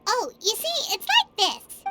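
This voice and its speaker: A woman voicing a tiny, excitable, childlike fairy companion who chirps out exclamations in game dialogue. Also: very high-pitched, measured pace, loud music behind the voice, full character voice. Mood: perky. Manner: refers to self in third person, exclamatory.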